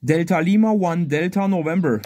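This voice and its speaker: A man's voice: a little high, normal speed, a crowd in the background. A man working amateur radio contest exchanges outdoors, repeating short clipped phrases and callsigns in phonetics. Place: outdoors at a garden picnic table.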